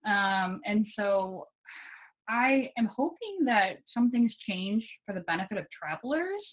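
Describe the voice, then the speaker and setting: A woman's voice: mid-range voice, big pitch swings, a little slow. A young American woman, a guest English teaching assistant, chatting casually with students and filling her talk with ums and likes. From United States. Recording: tinny phone-like video call audio.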